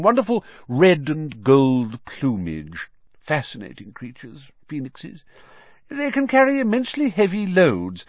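A man voicing a calm, kindly old wizard headmaster in an audiobook, measured, gentle and quietly amused. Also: a little high, no room sound, dull audio, pitched above the performer's own voice.

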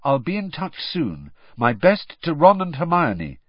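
A man reading aloud an urgent, protective letter in a storybook, in a measured way. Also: a little high, wildly swooping pitch, no echo, highs cut off, voice pushed up, heavily acted. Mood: urgent, concerned. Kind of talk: letter read aloud in an audiobook.

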